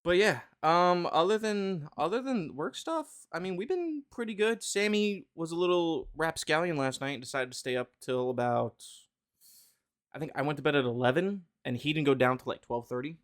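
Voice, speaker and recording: A man's voice: on the high side, expressive, moderate pace. A man hosting a podcast in casual chat, joking and rambling with lots of fillers and restarts. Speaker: podcast host.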